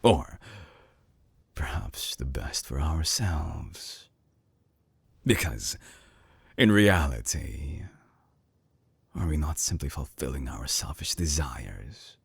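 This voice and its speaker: An actor playing a suave, obsessive villain scientist in an intimate binaural audio drama, teasing and menacing, with a husky voice that slips into breathy whispers. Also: male voice, extremely low pitch, animated, no echo, full character voice. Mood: smooth, persuasive. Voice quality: husky, gravelly. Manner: slow, theatrical, teasing, sliding into breathy whispers. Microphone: close binaural microphone.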